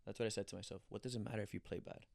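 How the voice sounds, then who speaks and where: A young man from Colombia, a former pro soccer player, telling animated stories in a casual interview, acting out quotes and restarting often. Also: lowish voice, rapid-fire, vocal fry, no echo. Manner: animated storyteller, acts out quotes, frequent restarts.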